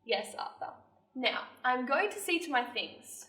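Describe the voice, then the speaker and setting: Stage monologue: young woman as cold, sharp-witted period sister, high voice, articulate and emphatic, distant in an echoey room. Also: lively intonation, a little slow, exaggerated voice. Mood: cold, controlled. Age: young adult. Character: Hattie, cold sharp-witted accusing Victorian-era sister. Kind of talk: theatrical monologue performance.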